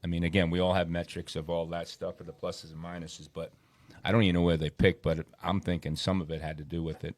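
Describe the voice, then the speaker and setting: A man's voice: low voice, little pitch movement, fast, a little mellow, dry recording. A man chatting casually as a panelist on a sports podcast. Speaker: podcast panelist, racing team crew member.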